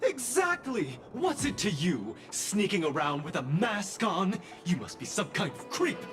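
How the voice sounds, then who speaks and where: An actor playing a rude, aggressive, hot-tempered bully in a video game. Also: male voice, high-pitched, very animated, measured pace, fairly bright tone, full character voice. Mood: suspicious, mocking. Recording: background music throughout.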